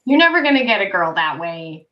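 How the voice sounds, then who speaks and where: A woman's voice: medium pitch, sing-song, brisk, muffled recording, a little hiss or hum. A chatty woman with a young-sounding voice, a podcast guest in casual conversation over a remote call. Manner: chatty, laughs easily. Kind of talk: casual chat.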